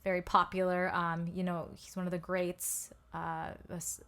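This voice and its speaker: A woman's voice: on the low side, monotone, moderate pace, fairly bright tone. A young woman, a singer and songwriter, chatting as a podcast guest, with many ums and likes and easy laughs. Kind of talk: casual interview chat.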